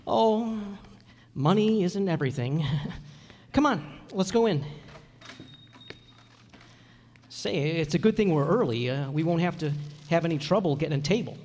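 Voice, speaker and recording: A bashful, well-meaning suitor played by a man speaks politely and haltingly. It is old-time radio comedy acting, heard with the long reverb of a hall. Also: a little high, expressive, darkish tone. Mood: polite, awkward. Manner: hesitant, stammering, polite.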